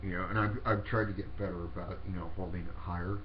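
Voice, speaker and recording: Low-voiced man with a sniffly cold. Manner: halting, many fillers and restarts. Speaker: paranormal video host. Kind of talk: casual rambling explanation. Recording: dull lo-fi recording with steady noise.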